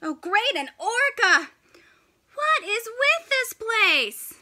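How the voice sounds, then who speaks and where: Woman voicing a whiny, grumpy little penguin, high-pitched. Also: sing-song, takes it slowly, pushed and bright, a little hiss or hum, heavily acted. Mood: exasperated. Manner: complaining, exasperated, melodramatic. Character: grumpy, whiny young male penguin full of complaints.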